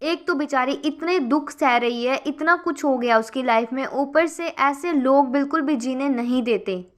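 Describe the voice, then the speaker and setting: A woman's voice: high-pitched, moderate pace, no echo, studio quality. A woman hosting a gossip channel, chatting casually as she reads out viewer comments and keeps addressing her viewers as guys.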